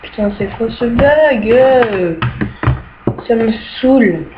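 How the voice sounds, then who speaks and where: Young woman, casual game tour, halting and fond of fillers, slow delivery, dull home mic with faint hiss. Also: a little high, expressive. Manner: hesitant, many "euh" fillers, easily annoyed by bugs. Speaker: gamer showing her rooms.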